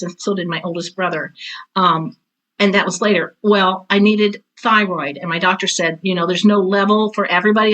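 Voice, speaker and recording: An older woman with a mid-pitched voice chats as a guest in an interview. She is frank and plain-spoken, happy to poke fun at herself, and talks in a casual, conversational way. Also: fairly bright tone, poor audio. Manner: blunt, candid, self-deprecating. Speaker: podcast guest, author and drama teacher.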